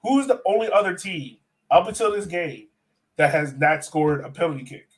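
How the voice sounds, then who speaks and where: A man's voice: a little high, animated, normal speed, poor audio. A man hosting a casual sports fan podcast, talking in a conversational, self-questioning way. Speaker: podcast host.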